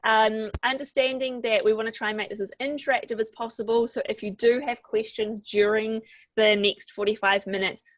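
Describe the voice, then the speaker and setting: A woman's voice: fairly high pitch, steady tone, brisk, dry recording, rough recording. A woman hosting an online workshop, friendly and encouraging as she guides participants. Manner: friendly and encouraging, repeats "fantastic". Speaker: webinar host.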